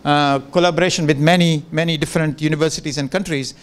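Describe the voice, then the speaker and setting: An older man whose first language is Gujarati gives a relaxed, joking guest lecture into a handheld microphone in a large lecture room, with many fillers and restarts. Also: on the high side, quick pace. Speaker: professor giving a guest lecture. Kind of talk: informal lecture with asides.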